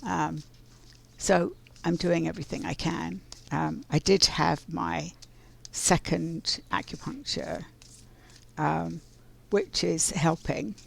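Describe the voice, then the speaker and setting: Woman, scratchy voice, heavy vocal fry, slow pace, reflective spiritual card reader. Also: lowish voice, steady tone. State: scratchy voice from pollen. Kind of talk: casual live oracle card reading.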